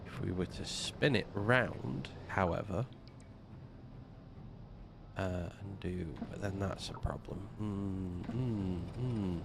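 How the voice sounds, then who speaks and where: A man's voice: deep voice, gravelly, background noise. A man streaming a game, talking through his building plans, snuffly from a slight cold. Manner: slow, muttering to himself while building. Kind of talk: casual gameplay commentary.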